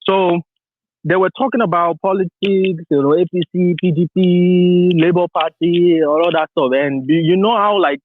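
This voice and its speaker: A man's voice: high-pitched, steady tone, moderate pace, a little hiss or hum. Young man calling in over a tinny phone. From Nigeria. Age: 30s. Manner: rambling, many restarts, laughs often. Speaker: caller. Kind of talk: phone call to a talk show. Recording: tinny phone line, dry.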